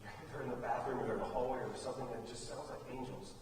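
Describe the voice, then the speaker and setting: An American man giving an informal, folksy speech as a theater director honoring students, halting and often repeating phrases. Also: medium pitch, fairly even intonation. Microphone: distant microphone. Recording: very echoey hall, distant mic, faint noise.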